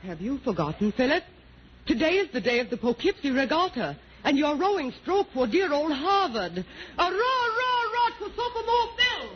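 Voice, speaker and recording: A woman's voice: sits high, very animated, moderate pace. A woman playing a sarcastic wife in a 1940s radio comedy, teasing with theatrical mock earnestness. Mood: mock cheering. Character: playfully sarcastic wife, teasing her boastful husband. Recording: muffled noisy 1940s radio recording.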